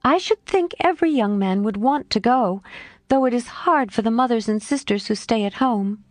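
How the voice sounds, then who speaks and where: A woman reads as a bashful, sweet young governess in a storybook, her voice lifted noticeably higher than the narration and full of earnest feeling. The recording is dry and close. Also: animated, moderate pace, darkish tone, dry recording, lightly acted.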